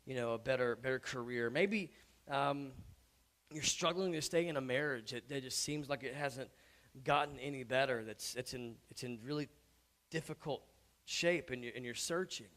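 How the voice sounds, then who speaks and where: A man in his thirties, a hoarse pastor, preaching conversationally on a church stage with many halting restarts that build to emphatic points. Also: mid-range voice, a bit bright. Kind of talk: sermon. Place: church stage before an audience.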